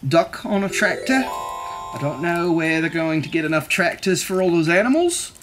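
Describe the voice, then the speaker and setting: A man's voice: fairly high pitch, very animated, moderate pace, over music. A man in his thirties reading a children's book aloud in a theatrical, jokey manner, with playful asides. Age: 30s. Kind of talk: expressive storybook read-aloud with playful asides. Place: living room, seated on a couch.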